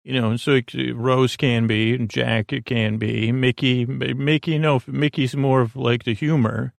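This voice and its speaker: A man hosting a sleep podcast, talking in a slow, meandering bedtime monologue full of fillers and restarts. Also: medium pitch, steady tone, dry recording. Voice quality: creaky, halting, many fillers and restarts.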